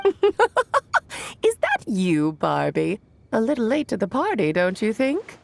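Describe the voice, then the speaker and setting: A woman's voice: fairly high pitch, huge pitch swings, slow, vocal fry, slight background noise, full character voice. A woman playing a playful witch in a video game, with an airy, theatrical way of talking. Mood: playful, amused. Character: cheerful, teasing adult witch, playfully theatrical.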